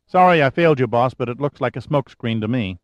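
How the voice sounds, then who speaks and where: A male narrator voicing a brisk, wisecracking young woman secretary on a phone call. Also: mid-range voice, animated, dry recording, natural voice. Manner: wisecracking, quick, efficient.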